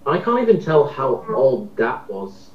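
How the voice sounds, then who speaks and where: A man's voice: mid-range voice, sing-song, measured pace. A man in a casual, opinionated group chat, speaking hesitantly and repeating his words. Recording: echoey, muffled amateur voice recording.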